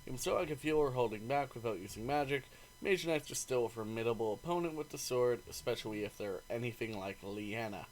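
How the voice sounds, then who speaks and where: A man reading a visual novel's narration aloud as a gaming host, expressive and informal. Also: medium pitch, fairly quick, a little hiss or hum, poor audio. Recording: faint game music behind.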